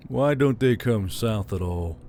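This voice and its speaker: A man voicing a gruff, weary male military commander who grumbles dryly. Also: on the low side, lively intonation, a little slow, warm tone, slight background noise.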